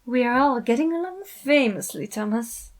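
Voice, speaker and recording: A woman's voice: fairly high pitch, lively intonation, moderate pace, voice pushed up, close to an everyday voice. A woman voicing a sly, theatrical, touchy man with a dramatic, huffy delivery in a story reading. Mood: smooth reassurance. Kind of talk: acted dialogue in a fan fiction reading.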